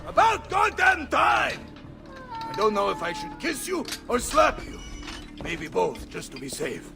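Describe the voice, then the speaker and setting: An actor playing a brash, battle-hungry mercenary captain in a video game, with a theatrical, blustering delivery that swings between booming and tender. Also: male voice, huge pitch swings, unhurried, vocal fry, shouting, big-room sound, music in the background, a crowd in the background, heavily acted. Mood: brash, amused. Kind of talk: video game cutscene acting.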